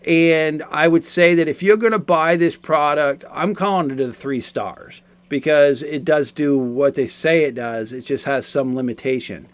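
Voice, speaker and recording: A man in his forties giving a casual, explanatory product review, talking in a halting, repetitive way. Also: fairly high pitch, smooth.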